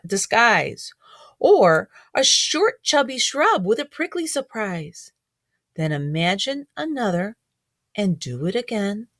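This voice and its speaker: A woman's voice: on the low side, dry recording. A middle-aged woman, a teacher reading a picture book aloud to children and adding chatty, questioning asides. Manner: asks listeners frequent gentle questions, child-directed.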